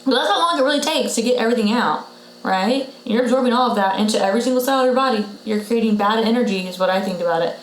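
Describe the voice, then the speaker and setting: A woman's voice: on the high side, fast. A woman co-hosting a podcast, giving blunt health advice in a casual, emphatic way and repeating her key points. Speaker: podcast co-host, patient. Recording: roomy echo with faint noise.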